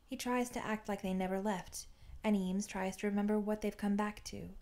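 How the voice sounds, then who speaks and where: Woman, mid-pitched, mellow dark tone, scripted story narration, audiobook style. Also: steady tone, moderate pace. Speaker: fan fiction reader.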